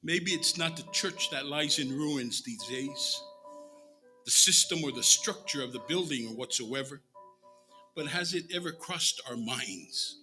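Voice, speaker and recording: A middle-aged man with a Samoan accent preaching an emphatic sermon at a church pulpit, swinging between hushed phrases and loud declamation. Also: mid-range voice, unhurried, faint music behind, poor audio. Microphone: microphone on a boom stand at the pulpit.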